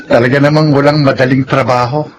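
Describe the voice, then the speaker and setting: A man's voice: medium pitch, flat delivery, fast talker, slight background noise, close to an everyday voice. A man playing a humble, earnest ex-convict father rebuilding his life in a radio drama. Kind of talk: classic radio drama acting.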